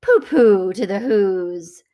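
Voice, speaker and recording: A woman's voice: fairly high pitch, expressive, unhurried, dull audio. A woman voicing a sly, gloating, grouchy male storybook creature, relishing the words.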